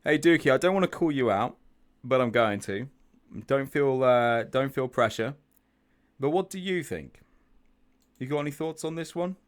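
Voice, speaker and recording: A man's voice: medium pitch, expressive, normal speed. A man hosting a live trading stream, chatting through chart analysis, thinking aloud with restarts and asking viewers for their views. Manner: chatty, thinks aloud, repeats words, asks viewers questions. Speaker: trading group host.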